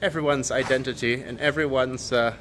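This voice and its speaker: Penang guy doing an earnest opinion video, lots of uhs, mid pitch, aircon hum in the back. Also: fairly even intonation, brisk, a bit bright, rough recording. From Penang, Malaysia. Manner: earnest, hesitant with frequent fillers. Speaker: video host. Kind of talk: opinion monologue to camera.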